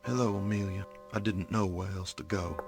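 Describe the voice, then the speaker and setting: An actor playing a weary, remorseful middle-aged judge in an audio drama, husky and subdued. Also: male voice, deep voice, steady tone, moderate pace, darkish tone, faint music behind. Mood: anguished confession. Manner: subdued, regretful. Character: remorseful, weary judge, older husband and father.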